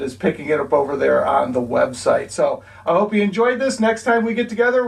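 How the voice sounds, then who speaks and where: A man's voice: high-pitched, gravelly. A man hosting a board game review show, talking casually and loosely as he opens and closes the episode. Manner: easygoing, loose, hedging with fillers. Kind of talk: casual on-camera review intro and wrap-up. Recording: voice picked up from a distance.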